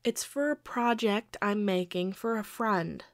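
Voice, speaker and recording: A woman's voice: medium pitch, close to an everyday voice. A woman playing a quirky, sheepish young girlfriend who pleads and defends herself hesitantly in an intimate audio roleplay. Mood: sheepish, defensive. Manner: hesitant, pleading, halting with pauses. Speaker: amateur audio roleplay voice actor.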